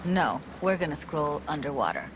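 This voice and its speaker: An actress playing a playful, self-assured young techie and diver in a video game, with a slangy, teasing, laid-back way of talking. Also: female voice, low-pitched, sing-song, moderate pace, background noise. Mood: thoughtful. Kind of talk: cinematic game dialogue.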